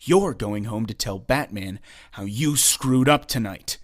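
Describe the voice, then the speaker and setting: A man's voice: on the low side, measured pace, lightly acted. A man playing a confident, commanding young costumed vigilante in a comic dub, cool, assured and condescending. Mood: calm, commanding. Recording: faint music behind the voice.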